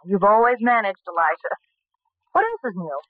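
A woman's voice: mid-range voice, sing-song, moderate pace, dry recording. An actress playing a self-possessed, inquisitive young woman in 1940s radio drama, wry and articulate. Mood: wry, curious. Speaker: actor. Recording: tinny, narrow old radio recording.

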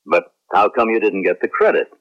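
A man's voice: low-pitched, a little fast. An actor playing a deferential, naive young male clone trainee in a late-1970s radio drama. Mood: earnest, curious. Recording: muffled, lo-fi vintage radio recording.

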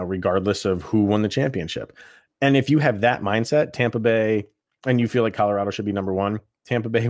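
Low-voiced man talking sports, dry close sound. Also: a little mellow, dry recording. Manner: opinionated, conversational, thinking aloud. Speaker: sports podcast host. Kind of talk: casual sports commentary.

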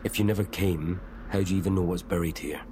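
A man's voice: low-pitched, fairly even intonation, fast, dark tone, a little hiss or hum, lightly acted. An actor playing a sardonic, bewildered man in an audio drama, questioning everything in a naturalistic way. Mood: skeptical, pressing.

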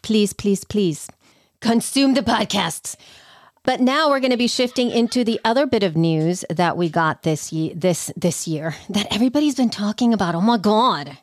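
A woman's voice: mid-range voice, expressive, moderate pace, no echo, clean studio sound. A woman chatting casually as a podcast host, with an enthusiastic, emphatic way of talking.

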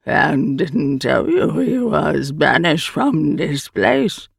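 Woman acting out guarded inhuman creature, audiobook fantasy, drawn-out stern delivery, creaky, dry close sound. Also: low voice, big pitch swings, no echo, heavily acted. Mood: grave, accusing. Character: the Eye, floating many-armed creature, slow, stern, guarded.

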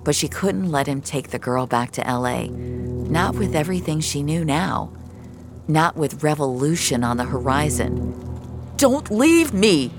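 A woman's voice: deep voice, moderate pace, over music. A woman giving a dramatic scripted narration of a fiction story.

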